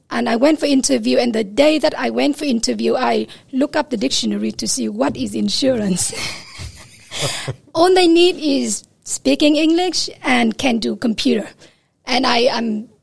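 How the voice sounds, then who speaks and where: A woman's voice: fairly high pitch, a little slow, gravelly, slightly rough. A woman in her forties from Vietnam, speaking English with a Vietnamese accent, hesitant and plain as an interview guest. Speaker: insurance executive, guest.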